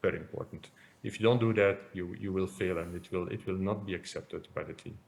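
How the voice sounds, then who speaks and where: Belgian guy, middle aged, low gravelly voice, lecturing at a conference, lots of uh and repeated words. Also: steady tone, brisk. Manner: hesitant, many repeated words and fillers. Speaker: interventional cardiologist lecturer.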